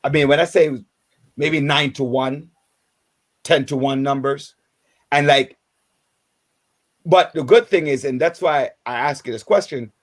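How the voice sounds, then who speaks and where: Jamaican man hosting radio, halting. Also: mid-range voice. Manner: halting, many restarts and filler words. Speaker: radio host. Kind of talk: casual radio talk.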